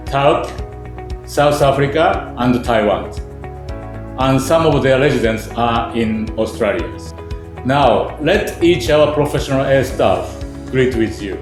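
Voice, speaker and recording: Man, corporate narration, steady listing, echoey room, music bed. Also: medium pitch. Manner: steady, listing points one by one. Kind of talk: scripted narration.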